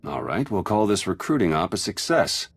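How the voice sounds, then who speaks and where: A man's voice: deep voice, very animated, normal speed, creaky, very noisy, full character voice. An actor playing a wry, easygoing male spy in a video game, with a dry, laid-back manner. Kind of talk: video game cutscene acting.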